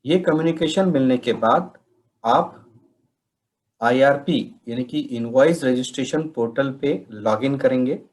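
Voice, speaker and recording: A man narrates an instructional slide presentation. He speaks at a slow, measured pace with a mid-range pitch, taking the listener through each point methodically, as a software trainer would. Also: poor audio. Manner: methodical, step-by-step explaining.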